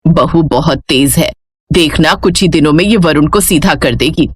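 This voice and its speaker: A woman voicing an older, put-upon but patient mother-in-law in a storybook tale, with measured, drawling speech. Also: low voice, husky, no room sound, clean studio sound, voice pushed down. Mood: wary. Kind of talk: Hindi moral storybook character voice.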